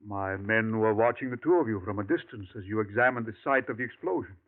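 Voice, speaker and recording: An actor playing a suave alien posing as a ranch owner, with an unplaceable foreign accent and mocking, smooth politeness, in 1940s radio acting. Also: male voice, fairly low pitch, quick pace, natural voice. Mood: silkily menacing. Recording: tinny old radio broadcast.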